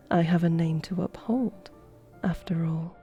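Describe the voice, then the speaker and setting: A woman playing a courtly, flirtatious vampire in an ASMR roleplay, speaking softly with a teasing, formal, elegant manner. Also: lowish voice, steady tone, slow, highs cut off, soft background music. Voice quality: soft, breathy. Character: flirtatious, courtly female vampire guiding a guest.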